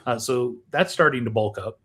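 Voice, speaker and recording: A man hosting a podcast in a casual conversational style, deliberate and questioning as he steers the talk. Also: medium pitch, fairly bright tone. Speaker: podcast host.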